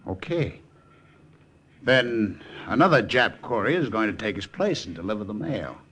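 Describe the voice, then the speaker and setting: An actor playing a bold, resourceful American wartime agent, narrating and acting in an old radio drama. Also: male voice, lowish voice, very animated, normal speed, fairly bright tone, breathy edge, heavily acted. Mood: determined.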